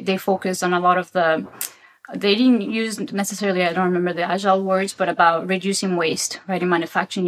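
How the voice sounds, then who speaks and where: Woman w/ argentinian-ish accent, guest in a chat, lowish voice, lots of "right?" and restarts. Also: a bit bright. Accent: Argentinian-tinged English. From Paraguay. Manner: reflective, many restarts and "right?" tags. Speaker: interview guest. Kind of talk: casual conversational interview.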